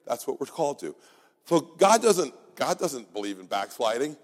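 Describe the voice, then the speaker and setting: Pastor, male, raspy, exhorting sermon delivery, reverberant hall. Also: mid-range voice, very animated, normal speed. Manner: earnest, exhorting, with restarts.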